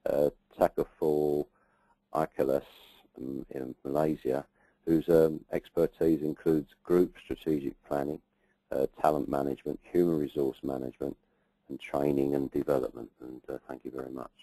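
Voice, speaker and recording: A male moderator speaks in a very deep, mellow voice, hesitating often as he hands over to the next speaker. The sound is dry, with no room around it. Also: level tone, moderate pace, dry recording, poor audio. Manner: hesitant, apologetic. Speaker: event moderator.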